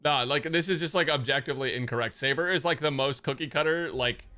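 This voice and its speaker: A man's voice: a little high, fast, bright, forceful tone, smooth. A young man live streaming his reaction to a game, chatting casually in slangy, emphatic language.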